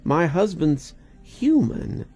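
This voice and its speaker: A man's voice: fairly high pitch, big pitch swings, takes it slowly, a little mellow, pitched above the performer's own voice, heavily acted. A man voicing a grieving, desperately hopeful wife who coos and pleads in a quivering voice, as in a storybook reading. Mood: indignant, distraught. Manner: cooing, pleading. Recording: music behind the voice.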